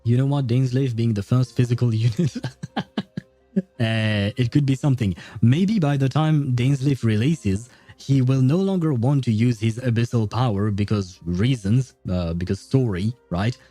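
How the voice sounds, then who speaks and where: A man's voice: medium pitch, normal speed, mellow, dry recording, faint music behind. A man rambling casually on a game livestream, thinking aloud as he answers his viewers.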